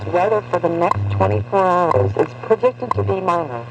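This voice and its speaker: A distant, static-laden shortwave broadcast carries a man's voice. He sounds high and gravelly, reading an official bulletin in a formal, impersonal, measured way. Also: lively intonation, music in the background. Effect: shortwave radio. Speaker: radio time station announcer.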